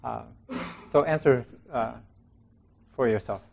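A man leading a group discussion in a meeting room. He talks in a relaxed way, laughing often and restarting his sentences. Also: medium pitch, slow pace, vocal fry, slight background noise. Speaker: meditation group leader.

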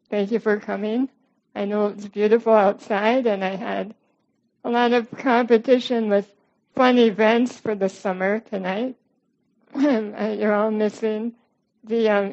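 A woman with a quiet voice, a bit worn tonight, giving an informal dharma talk to a group and laughing softly. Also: mid-range voice, dull audio. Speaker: guest meditation teacher.

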